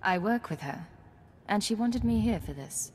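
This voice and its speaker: A woman playing a cool-headed secret agent in a video game, calm, articulate and matter-of-fact. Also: medium pitch, normal speed, a little hiss or hum, lightly acted. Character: composed, cool-headed female secret agent, firm and diplomatic.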